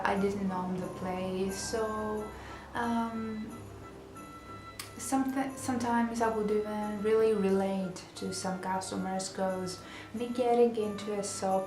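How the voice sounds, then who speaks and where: A young woman with a Greek accent, chatting to camera in a casual vlog with many fillers and restarts. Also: mid-range voice. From Greece. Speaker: vlogger. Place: small bedroom indoors. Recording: roomy echo, music behind. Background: background music.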